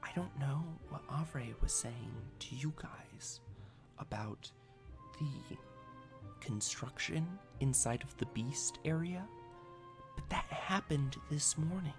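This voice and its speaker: A man's voice: fairly high pitch, slow pace, over music, pitched above the performer's own voice. A man voicing a nervous, polite young female museum employee in a tabletop game, hesitant and apologetic. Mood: hushed, worried.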